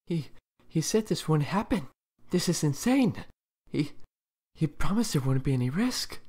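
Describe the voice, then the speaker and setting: A man playing a frightened, panicking conspirator in a comic dub, husky and stammering. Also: fairly high pitch, big pitch swings, a little slow, a little mellow. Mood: panicked.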